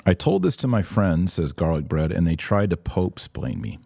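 A man's voice: low-pitched, big pitch swings, moderate pace, gravelly, dark tone, breathy edge. A man hosting a religious podcast, giving opinionated, emphatic commentary and answering viewer comments, often repeating phrases to drive a point home.

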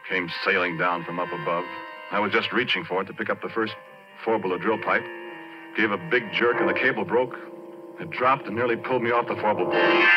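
Muffled, old radio sound with music carries a man acting as a haunted roughneck with a very deep voice. He tells his horror tale in plain, halting, working-man speech, as in a 1940s radio play. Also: natural voice. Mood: grim recounting. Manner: folksy, halting, colloquial storytelling. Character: haunted plain-spoken oil rig roughneck recounting horror. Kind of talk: 1940s radio drama acting, first-person narration. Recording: muffled vintage 1940s radio recording, organ-era music.

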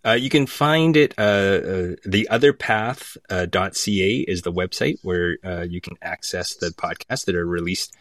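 A man's voice: low-pitched, unhurried, hardly any pauses, fairly bright tone, no room sound, studio quality. A man giving a conversational interview as a guest, thoughtful and searching for his words. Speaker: podcast guest from a theatre company.

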